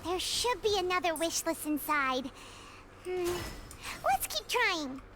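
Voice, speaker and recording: A woman voicing a tiny, excitable childlike fairy companion in a video game, squeaky, eager and curious. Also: slow pace, very noisy, exaggerated voice.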